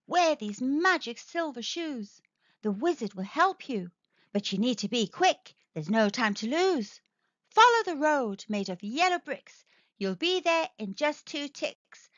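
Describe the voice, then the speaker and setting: A woman plays a kindly good witch in a storybook. She instructs and urges in a high, bright, lilting voice. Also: sing-song, unhurried, no echo, dull audio, full character voice. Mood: urging.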